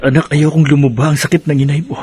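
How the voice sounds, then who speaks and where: A man's voice: fairly high pitch, level tone, fast, mellow, breathy edge. An actor playing a worried, devoted father in a radio drama, sorrowful and earnest, with a Filipino accent.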